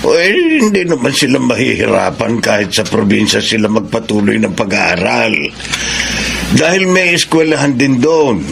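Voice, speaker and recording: Very gravelly old grandfather. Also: medium pitch, big pitch swings, moderate pace, fairly bright tone, a little hiss or hum, exaggerated voice. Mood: reassuring. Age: older. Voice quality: creaky, gravelly. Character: kindly, reassuring old grandfather from the province. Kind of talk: Filipino radio drama acting.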